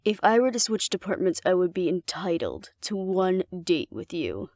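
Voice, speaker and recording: A woman's voice: on the low side, very animated, normal speed, darkish tone, no echo, clean studio sound. A woman playing a cocky, flirtatious female alpha werewolf in an intimate audio roleplay, speaking to the listener in a wry, teasing, confident way. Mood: smug, playful bargaining.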